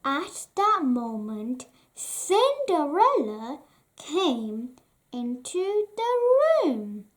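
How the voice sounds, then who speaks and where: A woman reads a bedtime storybook aloud in a high, bright voice. Her pitch swoops up and down in a sing-song way, and she takes it very slowly, lingering on each phrase. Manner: slow, sing-song, expressive reading. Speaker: storybook reader.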